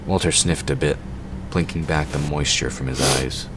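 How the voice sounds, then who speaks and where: Super deep guy narrating a story from a script, pretty noisy background. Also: fairly even intonation, normal speed. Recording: clearly noisy background ambience.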